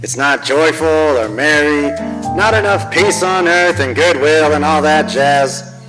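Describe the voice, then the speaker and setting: A man plays a hard-boiled private eye for a noir radio play, his voice pitched on the high side. He is tough and wry, a weary wisecracker full of slang. Long reverb surrounds him, with music underneath. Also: measured pace, close to an everyday voice. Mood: wry, confiding. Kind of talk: noir radio drama narration and dialogue.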